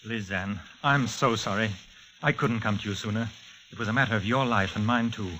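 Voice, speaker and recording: An actor playing an intense, scheming protector in a 1940s radio spy mystery. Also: male voice, on the low side, brisk, lightly acted. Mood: soothing. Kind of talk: 1940s radio drama acting. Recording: muffled, faintly hissy old radio recording.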